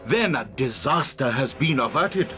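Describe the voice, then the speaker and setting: An actor playing a pompous, long-winded wizard scholar of the occult in a fantasy game cutscene, with theatrical delivery. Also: male voice, medium pitch, animated, unhurried, sharp tone, soft background music. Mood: grave, dramatic.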